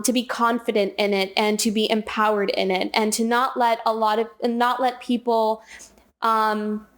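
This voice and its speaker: A woman doing a casual spiritual oracle card reading, talking in a soft, rambling way while losing her voice. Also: a little high. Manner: soft, rambling, repeats and restarts phrases. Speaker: tarot and oracle card reader.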